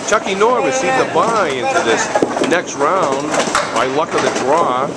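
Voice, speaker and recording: A man's voice: fairly high pitch, a little slow. Middle-aged man calling bowling, alley noise. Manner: chatty, excited on big shots. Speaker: tour commentator filming the match. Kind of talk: live play-by-play commentary. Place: large indoor bowling alley. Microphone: handheld camcorder mic. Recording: loud alley noise behind camcorder audio.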